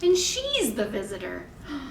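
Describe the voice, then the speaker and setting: A woman leading a toddler storytime in simple short phrases, with an animated, sing-song, encouraging manner. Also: on the high side, unhurried, creaky, slight background noise. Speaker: children's librarian leading storytime. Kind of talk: toddler storytime, singing-along instruction and read-aloud. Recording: echoey room.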